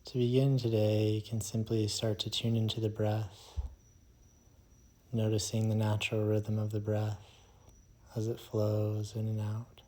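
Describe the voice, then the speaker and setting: A man guides a meditation in a dark, mellow voice. He speaks very slowly and almost without any rise or fall in pitch. His manner is soothing and hushed, and he leaves long pauses between phrases. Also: completely flat delivery.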